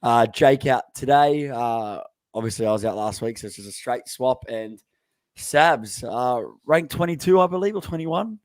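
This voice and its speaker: Relaxed man, dry close sound. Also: medium pitch, normal speed, no room sound. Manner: relaxed, rambling, with many fillers. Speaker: podcast co-host. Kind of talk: casual sports podcast chat.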